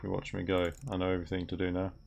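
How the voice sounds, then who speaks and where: A young man with a gravelly voice, commenting on a game as he plays and mutters through on-screen text. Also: low-pitched, completely flat delivery, very fast, a little mellow, slight background noise. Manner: mutters aloud while reading on-screen text. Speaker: gaming video creator. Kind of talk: game commentary while playing. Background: keyboard typing and clicks.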